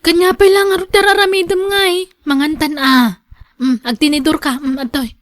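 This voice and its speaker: Woman doing young girlfriend in ilocano radio drama, high pitched, caring but gets annoyed fast, very direct. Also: steady tone, dry recording, studio quality, natural voice. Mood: mildly impatient.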